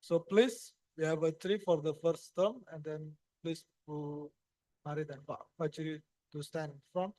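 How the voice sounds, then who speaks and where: A man with an Indonesian accent moderating a conference session, speaking haltingly with many fillers. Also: a little high, fairly even intonation, dark tone, no echo, rough recording.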